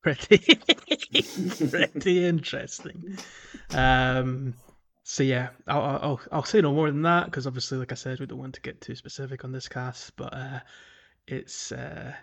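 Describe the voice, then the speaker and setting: A man's voice: medium pitch, measured pace, vocal fry, a bit bright. Hesitant man in casual podcast chat. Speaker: podcast co-host. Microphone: remote call.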